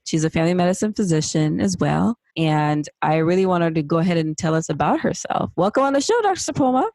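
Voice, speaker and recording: A woman's voice: lowish voice, expressive, quick pace, darkish tone, no echo, clean studio sound. A woman hosting a podcast, upbeat and encouraging as she introduces and questions her guest. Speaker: podcast host.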